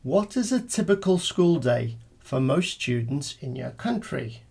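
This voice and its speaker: A man's voice: on the high side, very animated, unhurried, creaky, a little mellow, a little hiss or hum. A man reading formal, scripted speaking-test instructions and questions as an examiner.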